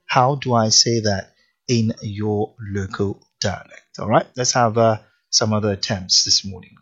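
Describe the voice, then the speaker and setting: A Nigerian man hosting a morning radio show, talking in a lively, teasing, emphatic way. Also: fairly low pitch, unhurried, husky, dull audio. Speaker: radio host.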